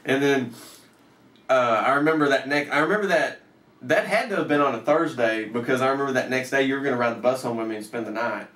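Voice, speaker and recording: A man's voice: mid-range voice, fast talker, a bit bright. A man in his thirties telling a personal story casually, with swearing and acted-out bits of dialogue. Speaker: storyteller, host. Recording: roomy echo, off the mic.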